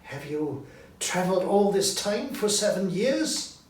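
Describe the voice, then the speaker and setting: A man voicing a frightened, excitable old miser in a storybook reading, with an agitated, trembling delivery. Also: measured pace. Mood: anxious. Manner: high-pitched, trembling, agitated. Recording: roomy echo, distant mic, background noise.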